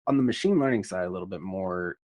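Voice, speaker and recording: A man's voice: low-pitched, fast, a little mellow, no room sound, clean studio sound. A man hosting an interview in an informal, chatty way, repeating words and leaning on casual fillers. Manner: informal, repeats himself, peppers talk with "like". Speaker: podcast host.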